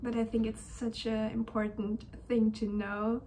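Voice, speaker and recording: A young woman, a university student, talking in a casual diary-style vlog. She speaks softly and hesitantly, often dropping to a gentle, breathy whisper. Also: a little high, level tone, measured pace, a little hiss or hum. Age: young adult.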